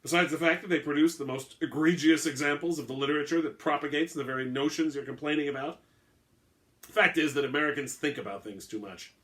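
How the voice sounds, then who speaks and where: A man's voice: a little high, fast, fairly bright tone, close to an everyday voice. A man playing a scruffy, weary, sardonic vampire hunter who is dry, needling and opinionated, in a story reading. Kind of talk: dramatic reading of an all-dialogue short story.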